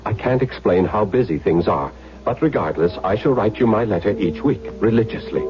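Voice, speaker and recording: An actor playing an earnest, fervent young political zealot with an intense, rhapsodic manner in a 1970s radio drama. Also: male voice, on the low side, lightly acted. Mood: excited, devoted. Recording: muffled old radio recording, faint music.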